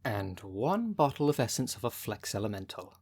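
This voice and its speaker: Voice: medium pitch, very animated, moderate pace, darkish tone, husky. A dry, bossy city witch, acted out in ASMR roleplay. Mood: matter-of-fact, dry. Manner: dry, matter-of-fact, casually bossy. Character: sardonic, brisk witch shopkeeper of a city potion shop, female. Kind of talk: ASMR roleplay, speaking directly to the listener.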